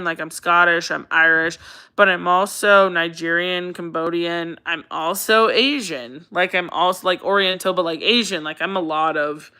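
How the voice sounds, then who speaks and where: A woman's voice: on the low side, bright, forceful tone, clear, resonant tone. An American woman from Colorado hosting a casual livestream, chatty and rambling, repeating phrases for emphasis as she answers viewers. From Colorado, United States. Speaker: livestream host.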